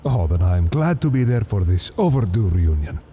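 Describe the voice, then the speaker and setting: A polite, devout old cardinal, as a man acts him out, with a dark, mellow, lowish voice. He speaks formally and earnestly. A faint hiss sits underneath. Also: sing-song, normal speed. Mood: courteous.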